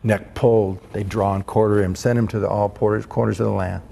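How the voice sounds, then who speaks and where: A man preaching a storytelling sermon, impassioned, rising to loud peaks when he quotes the heroes he describes. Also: lowish voice, steady tone, a little fast, gravelly, background noise. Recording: long hall reverb.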